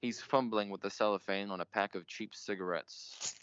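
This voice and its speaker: Man acting a deep, pompous radio mystery host. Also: steady tone, normal speed, a bit bright, clear, resonant tone, no echo, natural voice. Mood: brooding. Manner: theatrical, portentous, grandiose. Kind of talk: old-time radio mystery narration. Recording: muffled, noisy amateur stage recording.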